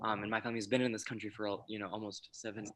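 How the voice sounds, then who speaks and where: A man's voice: fairly low pitch, level tone, racing, fairly bright tone, slight background noise. An American man speaking as a panel guest, earnest and lecturing, often repeating his words. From United States. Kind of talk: earnest discussion.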